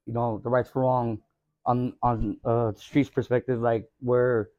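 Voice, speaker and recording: A man speaks as a podcast guest in a casual, reflective way, often circling back on his points. His voice is dark and mellow. The sound is somewhat muffled and dry. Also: medium pitch, flat delivery, unhurried, no echo. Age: adult parent. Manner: reflective, repeats phrases, frequent "you know what I mean". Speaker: podcast guest, hip-hop artist. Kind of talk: casual conversation.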